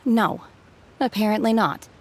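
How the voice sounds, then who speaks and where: A woman playing an aloof, austere young warrior in a video game, speaking in a cool, terse, deliberate way. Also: mid-range voice, heavy background noise, natural voice. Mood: calm, detached.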